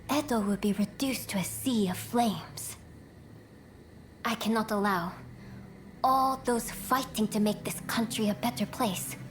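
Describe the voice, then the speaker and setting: A woman playing a gracious, composed princess in a video game, speaking in a refined, formal and measured way over music. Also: medium pitch, close to an everyday voice. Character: gracious, composed noble princess, gently resolute. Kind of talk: video game cutscene acting. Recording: dialogue over clear music.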